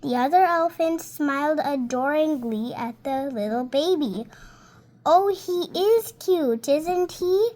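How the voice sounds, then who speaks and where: Young girl, around seven, high voice, slow and careful storybook read-aloud. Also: expressive, mellow. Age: child, about seven. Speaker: young reader.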